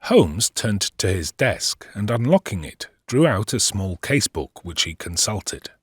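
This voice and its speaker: Deep, husky narrating. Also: male voice, big pitch swings, dry recording, studio quality, full character voice. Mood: measured. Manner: measured, literary storytelling. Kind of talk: scripted audiobook narration.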